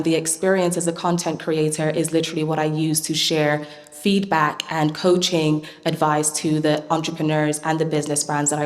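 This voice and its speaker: A woman's voice: low-pitched, long reverb tail. A woman partnerships lead speaking on a panel in a polished, upbeat manner. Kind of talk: panel discussion talk.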